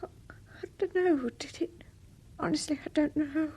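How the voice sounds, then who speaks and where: A woman's voice: high voice, fairly even intonation, unhurried, warm tone. A woman playing a badly hurt, frightened young woman in a radio drama, weak and breathless, often whispering. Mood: frightened, pleading.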